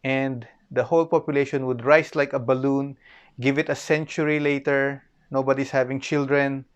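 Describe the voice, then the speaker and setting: A man's voice: medium pitch, level tone, moderate pace, clean tone. A man with a Filipino accent giving an informal explanatory history lecture, repeating phrases for emphasis and often checking in with "okay". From Philippines.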